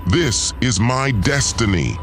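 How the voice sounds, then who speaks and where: A man's voice: medium pitch, sing-song, takes it slowly, fairly bright tone, soft background music, exaggerated voice. A man playing a cold, vengeful usurper lord in a sci-fi audio drama, pompous and menacing, narrating his own schemes theatrically. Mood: cold, gloating. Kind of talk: first-person audio drama narration and dialogue.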